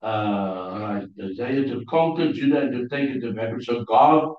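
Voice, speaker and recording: A man with an Afrikaans accent, whose first language is Afrikaans, teaching a Bible class in a halting way, often pausing to search for English words. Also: mid-range voice. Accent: South African Afrikaans. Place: church hall. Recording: distant, roomy, dull lo-fi sound.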